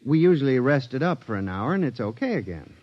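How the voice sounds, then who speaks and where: A man's voice: mid-range voice, sing-song, fast talker, no echo, close to an everyday voice. An actor playing a nervous male statistical clerk who narrates a 1950s radio drama hesitantly. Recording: dull old radio broadcast with faint music.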